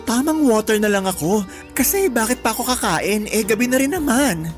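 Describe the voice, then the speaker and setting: An actress playing a blunt, protective big-sister friend in a Tagalog radio drama, teasing in slangy talk with a Filipino accent. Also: female voice, fairly high pitch, animated, moderate pace, lightly acted. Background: background music.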